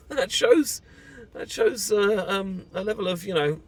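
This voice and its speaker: A British man playing an emotional husband leaving an abusive marriage, confiding haltingly in a close friend in an intimate, naturalistic audio roleplay. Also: high-pitched, expressive, slightly rough, full character voice. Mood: amused, nostalgic. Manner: halting, confessional, sighing, informal and sweary.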